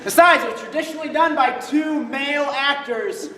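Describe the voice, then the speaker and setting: A man's voice: very high voice, normal speed, heavy background noise. A young man playing a hammy, bossy Romeo actor. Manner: grandiose, overdramatic reciting. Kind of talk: amateur stage play. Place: large hall stage. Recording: echoey hall from far off, audience.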